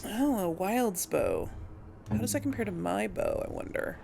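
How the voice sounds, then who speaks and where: A woman's voice: medium pitch, expressive, normal speed, creaky, dark tone, slight background noise. A woman giving casual gameplay commentary as a host, hesitantly thinking aloud. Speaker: host of a game role-play series.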